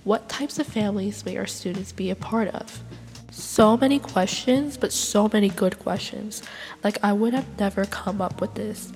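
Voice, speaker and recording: A woman's voice: medium pitch, normal speed, darkish tone, big-room sound, soft background music. Congested, outspoken young woman. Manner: outspoken and opinionated. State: congested from illness. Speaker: education student. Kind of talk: solo class podcast reflection.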